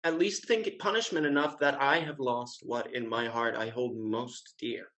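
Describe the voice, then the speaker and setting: Suave, florid gentleman rake played by a man. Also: mid-range voice, fairly even intonation, lightly acted. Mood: mournful. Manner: florid, pleading, measured. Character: suave, flattering gentleman rake playing the penitent lover. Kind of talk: scripted stage comedy acting.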